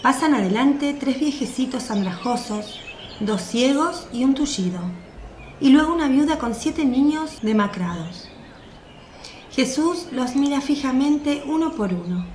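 A woman's voice: high-pitched, animated, a little slow, darkish tone. A woman reading scripted narration for a radio drama. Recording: roomy sound with faint noise. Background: birdsong, outdoor ambience.

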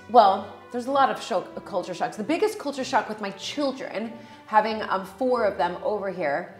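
A woman with an American accent in her forties talks casually to camera as host. She is chatty and quick to laugh, with plenty of ums. Her voice carries a roomy echo from a large kitchen. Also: medium pitch. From United States. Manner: chatty, laughing, many fillers. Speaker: channel host. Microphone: lapel mic.

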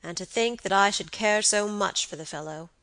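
A woman voicing a grave, reproachful young gentleman in a novel, loyal and somewhat contemptuous, with emphatic delivery. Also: medium pitch, normal speed, no room sound, natural voice, low-quality recording. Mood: musing.

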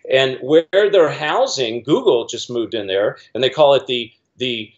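A man's voice: medium pitch, expressive, a little slow. Middle-aged man, earnest rambling talker, slightly muffled video-call sound. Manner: earnest, rambling with many fillers and restarts. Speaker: guest commentator. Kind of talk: casual video-call discussion. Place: small room at home, indoors.

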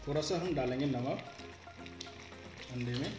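Male cooking host, 30s, plain instructive delivery, mid pitch, roomy kitchen sound with clear background music. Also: measured pace. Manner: instructive, explains each step plainly. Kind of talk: step-by-step recipe narration. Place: home kitchen, indoors. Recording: echoey kitchen with music underneath.

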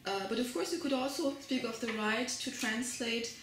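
A woman's voice: a little high, moderate pace, a bit bright, roomy sound, a little hiss or hum. A middle-aged woman professor being interviewed, speaking thoughtfully with many fillers and restarts. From Germany. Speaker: translation studies professor, guest. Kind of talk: academic interview.

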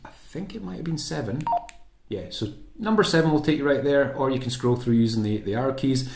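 A man's voice: medium pitch, animated, a little fast, creaky, noisy background. A British man giving a casual, unscripted tutorial indoors, informal and often correcting himself as he explains. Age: 30s to 40s. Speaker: host of a how-to sailing channel. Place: small home kitchen or room, indoors. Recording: roomy, echoey indoor sound.

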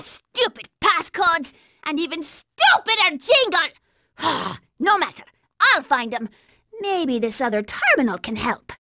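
Casting: a hot-headed kid girl commander for a cartoon game, very high, piercing and animated. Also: dry recording, studio quality, exaggerated voice. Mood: exasperated, angry, then determined. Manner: brash, shouty, commanding. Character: bossy, hot-tempered young girl kid operative. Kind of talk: cartoon video game acting.

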